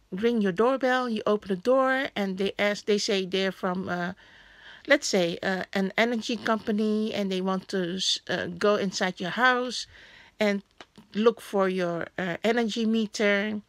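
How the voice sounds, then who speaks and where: A woman vlogging casually about a newspaper, speaking English as a Hakka and Cantonese native, often pausing to search for words. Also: medium pitch, no echo. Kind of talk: casual chat. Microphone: phone camera held over a newspaper.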